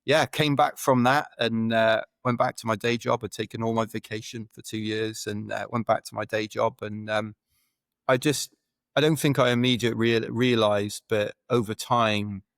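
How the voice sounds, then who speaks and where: A man's voice: on the low side, steady tone, normal speed, creaky, dry recording, clean studio sound. A man in his forties, a guest on a podcast, telling his story in a rambling way that keeps correcting itself. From Wales. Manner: rambling, self-correcting, chuckles while telling stories. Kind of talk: casual podcast interview.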